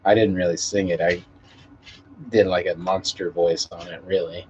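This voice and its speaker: A man's voice: deep voice, flat delivery, moderate pace. A man hosting a casual live stream, chatting with viewers in a laid-back, rambling way and swearing freely. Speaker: musician. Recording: muffled lo-fi stream audio with background noise.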